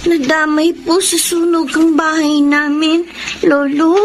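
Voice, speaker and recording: A woman's voice: sits high, little pitch movement, unhurried, over loud music. An actress playing an earnest young girl, a little sister, in a Filipino radio drama. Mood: worried. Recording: noisy ambience behind.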